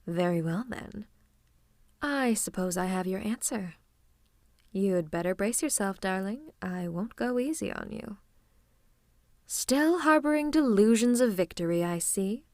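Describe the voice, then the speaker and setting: Lady acting as a playful villainess for asmr roleplay, teasing and affectionate w/ some theatrical menace, really dry sound, mid pitch. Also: expressive, measured pace, long pauses, a little mellow, no room sound. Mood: mock menacing. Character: playful, teasing female supervillain fond of her hero. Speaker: voice actor. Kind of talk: ASMR roleplay acting, one-sided conversation.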